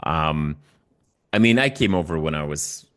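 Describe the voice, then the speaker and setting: A man in his forties from Belgium, a native Dutch speaker with a Belgian Dutch accent, talking casually in an interview in a halting, self-correcting way. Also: a bass voice, lively intonation, a bit bright, clear, resonant tone. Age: 40s. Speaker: interview guest, music YouTuber.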